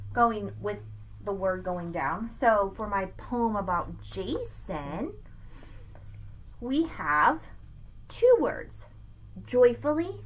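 A middle-aged woman teacher giving an expressive, emphatic read-aloud lesson for children, recorded on a webcam in a room at home. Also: mid-range voice, rough recording. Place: small room at home with bookshelves, indoors. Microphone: webcam at arm's length.

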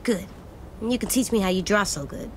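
A woman's voice: medium pitch, fairly quick, a little hiss or hum, lightly acted. A woman playing a serious, determined little boy in a video game drama, blunt and childlike in delivery. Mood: friendly, earnest.

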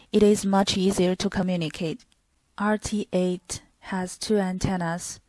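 A woman's voice: medium pitch, a little mellow, no room sound, poor audio. A woman reading scripted product demo narration in a stilted, word-by-word manner.

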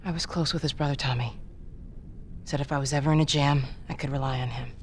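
An actress playing a steely resistance leader in a video game cutscene, firm, measured and authoritative. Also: female voice, very deep voice, steady tone, slight background noise. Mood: quietly insistent.

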